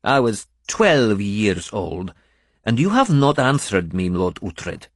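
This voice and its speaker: A man's voice: fairly low pitch, big pitch swings, takes it slowly, no room sound, clean studio sound, pitched above the performer's own voice, exaggerated voice. A man voicing a defiant, dignified Italian woman with an Italian accent in an audiobook. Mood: bitter, defiant. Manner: dignified, dismissive. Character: proud, defiant Italian woman, a queen's companion.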